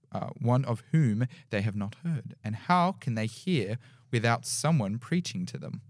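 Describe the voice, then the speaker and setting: A young man with a deep baritone voice reading scripture aloud in a small podcast studio, with small slips as he reads. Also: no room sound. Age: young adult. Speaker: podcast guest. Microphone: studio mic on boom arm with headphones.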